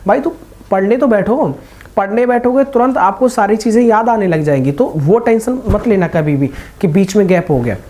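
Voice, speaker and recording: A man's voice: high voice, normal speed, a little mellow. A young man speaking informally and reassuringly, as the host of a video giving motivational advice to exam candidates. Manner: informal, reassuring, addressing listeners as brothers.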